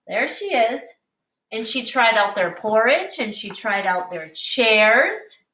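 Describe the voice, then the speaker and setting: A woman teacher reading a picture book aloud to young children with deliberate, explanatory expression. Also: on the high side, sing-song, pushed and bright. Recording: echoey room, distant mic.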